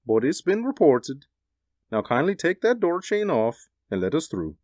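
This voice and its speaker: A man's voice: a little high, huge pitch swings, normal speed, a little mellow, no echo, clean studio sound. An actor playing a brusque, pushy city policeman in a 1940s radio drama. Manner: gruff and pushy. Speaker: police officer.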